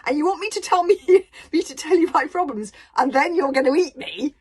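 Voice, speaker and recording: A woman voicing a clever, anxious young boy who speaks quickly and urgently. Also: sits high, lively intonation, darkish tone, pitched above the performer's own voice.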